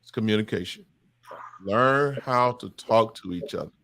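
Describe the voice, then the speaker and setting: A man's voice: lowish voice, moderate pace. Impassioned, emphatic male host. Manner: emphatic, repetitive, animated. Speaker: podcast host leading a men's discussion. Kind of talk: impassioned preaching-style talk.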